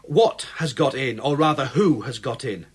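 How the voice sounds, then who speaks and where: An actor playing a tired but persistent police inspector in a radio drama, measured and insistent as he questions. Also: medium pitch, breathy edge, natural voice. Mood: probing.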